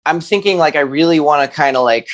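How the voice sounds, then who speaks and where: A man's voice: a little high, quick pace. Casual, rambling man of thirty. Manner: hesitant, rambling, slangy, peppered with "like". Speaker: guest musician. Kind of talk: casual interview chat.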